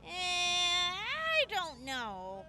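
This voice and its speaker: A woman's voice: very high voice, wildly swooping pitch, extremely slow, very noisy, heavily acted. A woman acting in an audio drama as a blunt, sardonic, reluctant field medic with a wry, casual and hesitant manner. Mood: reluctant, irritated.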